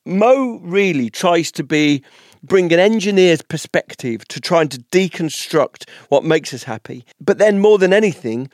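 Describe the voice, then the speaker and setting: A man's voice: a little high, no room sound, studio quality. A man hosting a podcast in a conversational interview style, speaking in an enthusiastic, effusive way.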